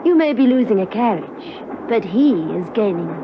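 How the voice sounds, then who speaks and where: A woman acting a radio-play villainess who builds bombs. She is cool and dryly witty, her voice on the high side. The recording is dull, with clear background noise. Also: lively intonation, normal speed, natural voice. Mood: dryly mocking. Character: cool, dry-witted female bomb-maker, villain. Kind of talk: classic radio drama acting.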